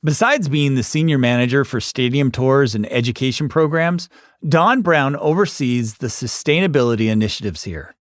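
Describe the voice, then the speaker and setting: A man's voice: mid-range voice, animated, normal speed, no echo, clean studio sound. A man reading scripted, informative narration as the host of a documentary series.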